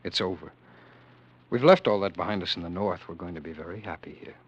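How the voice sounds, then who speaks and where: An actor in a vintage radio drama, playing a haunted man who once ruled the world, who narrates his dream with earnest, halting intensity. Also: low voice, husky. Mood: tender. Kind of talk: 1940s radio acting. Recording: dull old radio broadcast.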